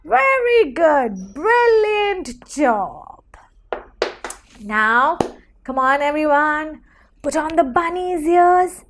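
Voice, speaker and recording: Woman, phonics teacher, very high pitch, very slow careful delivery, encouraging, question-and-praise style for small children. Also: slight background noise. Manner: animated, encouraging, deliberate enunciation with repeated call-and-response questions.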